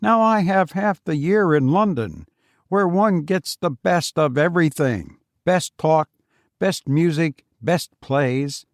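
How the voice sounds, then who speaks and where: A man's voice: a little high, takes it slowly, no echo, studio quality, voice pushed up. A man voicing a smug, patronizing, chatty English writer with a gushing, falsely modest manner in an audiobook story. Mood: smug, boastful. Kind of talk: acted dialogue in a literary audiobook.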